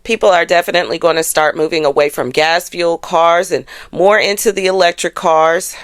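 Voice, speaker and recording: A woman's voice: on the low side, fairly even intonation, normal speed, clean tone, no room sound, clean studio sound. An American woman hosting a channel, chatting casually and directly to her followers with a sassy, rhetorical manner. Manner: sassy, direct address with rhetorical questions and tag phrases like "okay?". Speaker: astrology channel host. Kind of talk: casual, conversational commentary to followers.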